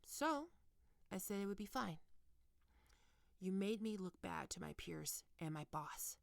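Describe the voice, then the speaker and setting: Stern, creaky-voiced goth professor, acted by a woman. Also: medium pitch, expressive, measured pace, no room sound, studio quality. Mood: stern, scolding. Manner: scolding, condescending, dropping into breathy murmurs. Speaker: ASMR roleplay performer. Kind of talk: ASMR roleplay acting.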